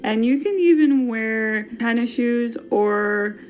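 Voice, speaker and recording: A woman's voice: fairly high pitch, takes it slowly, a little mellow. A woman with a Wisconsin accent hosting a casual podcast, talking in a playful, jokey, chatty way. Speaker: podcast host. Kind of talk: casual fashion podcast chat.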